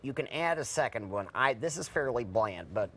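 A middle-aged man talks through a recipe on a television kitchen set. His voice sits at an ordinary middle pitch, relaxed and chatty as he preps food. The lapel mic keeps it close and clear. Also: normal speed. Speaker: cooking segment guest chef. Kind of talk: live TV cooking demo.

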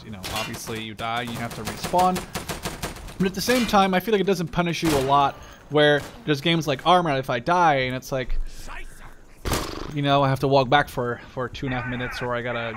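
A young man giving casual gameplay commentary, rambling, sweary and full of opinions. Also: fairly high pitch. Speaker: gaming video creator giving live commentary. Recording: loud machine-gun game noise behind voice. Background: gunfire and clicks from a war game.

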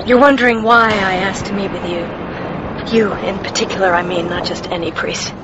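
A woman's voice: mid-range voice, fairly even intonation, normal speed, muffled recording, close to an everyday voice. Actress as a wary hesitant woman, mid voice, radio drama with noticeable noise. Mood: guarded, uneasy. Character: wary, anxious mother seeking a priest's help. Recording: noisy radio play recording.

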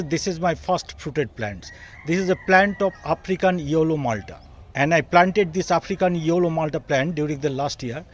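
Earnest older Bengali man on a rooftop. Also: fairly high pitch. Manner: earnest, repetitive phrasing, addresses viewers as dear friends. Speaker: gardening channel host. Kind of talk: casual gardening vlog tour. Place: outdoor rooftop garden.

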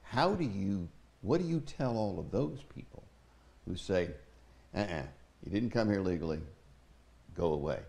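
An older man hosting a talk show, asking measured interview questions with emphatic rises in an ornate living room. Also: sits low, animated, vocal fry, darkish tone.

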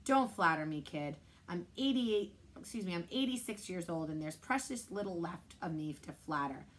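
A grumpy old man in his eighties, as a woman reading a storybook aloud puts him on. He barks and bellows each line, curt and stubborn, with a voice pushed loud. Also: on the low side, lively intonation, normal speed, slight background noise, natural voice. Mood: grumbling.

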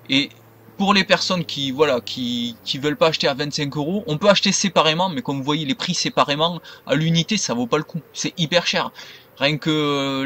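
A man's voice: fairly high pitch, fairly bright tone. A man giving casual, unscripted game commentary, rambling and stumbling over his words. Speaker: gaming video creator. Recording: noisy home recording.

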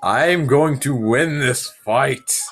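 A young man giving goofy, self-mocking commentary while playing a game, sick and sounding deeper than usual. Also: slow, fairly bright tone, soft background music. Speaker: gamer. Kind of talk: casual gameplay commentary.

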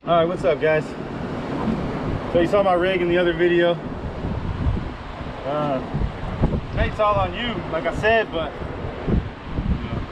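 A man's voice: sits high, unhurried, long pauses. A man hosting a fishing vlog, chatting casually and explaining things in a laid-back, slangy way, recorded outdoors on a beach. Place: open windy beach by the surf. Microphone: action camera outdoors, a few steps away. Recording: loud wind and surf noise.

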